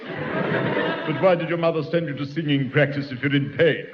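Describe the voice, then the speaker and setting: A man's voice: fairly high pitch, normal speed, natural voice. A man playing a pompous, fussy middle-aged choirmaster in a 1960s radio sitcom, with a repeated pet phrase. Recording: dull hissy old radio recording with reverb.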